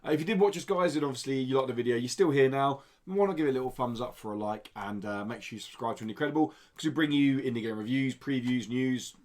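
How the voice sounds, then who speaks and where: A man's voice: mid-range voice, fast talker, hardly any pauses. A man hosting a podcast, chatting casually and addressing the listeners directly. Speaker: podcast host.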